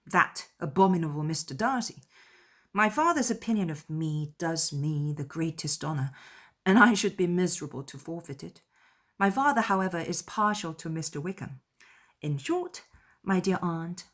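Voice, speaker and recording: A woman voicing a spirited young woman in an audiobook drama, with an animated, emphatic manner, playful yet sincere. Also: on the low side. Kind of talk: dramatized audiobook character dialogue.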